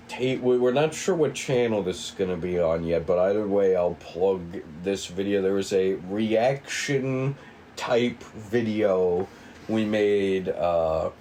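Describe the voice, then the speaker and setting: A man getting over a cold, co-hosting and explaining in a casual, rambling, halting way. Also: low-pitched, warm tone, a little hiss or hum. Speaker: co-writer and co-host introducing a script table read.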